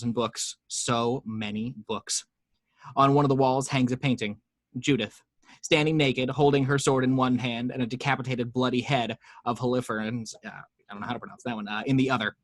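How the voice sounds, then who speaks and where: A man's voice: mid-range voice, fairly bright tone, no echo. Guy reading stage directions at home, chatty asides, dry close sound. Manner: casual, chatty asides to listeners. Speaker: narrator reading action lines. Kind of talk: amateur table read narration.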